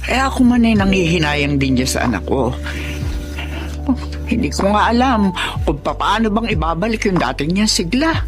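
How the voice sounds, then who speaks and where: Casting: actress as older Filipino mom, worried and scolding, animated pitch, radio drama with music underneath. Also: female voice, moderate pace, pauses often, lightly acted. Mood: chatty, regretful. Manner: scolding, pleading. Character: worried elderly mother, nagging but caring.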